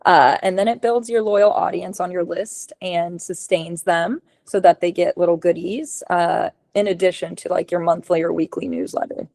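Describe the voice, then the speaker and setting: A woman giving a casual webinar presentation on a video call, running through tips as lists with many ums and likes, her voice going hoarse. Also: lowish voice, fairly even intonation, normal speed, hardly any pauses, darkish tone, no echo, studio quality. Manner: casual, lots of ums and likes, list-like delivery. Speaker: podcast production consultant.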